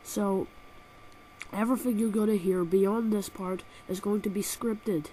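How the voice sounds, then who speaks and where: A woman's voice: mid-range voice, fairly even intonation, measured pace, dark tone, a little hiss or hum, low-quality recording. A woman with a cold and a nasal voice, reviewing a video game with sarcastic, exaggerated comic rants, recorded on a built-in laptop microphone. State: has a cold.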